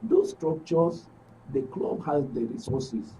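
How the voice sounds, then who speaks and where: Very dark-voiced, insistent Nigerian man. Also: a little high, huge pitch swings, takes it slowly. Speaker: panel guest. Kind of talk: argumentative studio discussion. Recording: dull, distant, faintly noisy mic.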